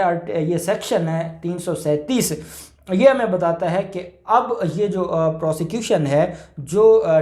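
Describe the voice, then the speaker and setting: A man with a Pakistani accent giving an informal legal explanation, halting and rephrasing his points as he goes. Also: high voice, a little mellow. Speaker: lawyer explaining law. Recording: roomy, echoing indoor sound.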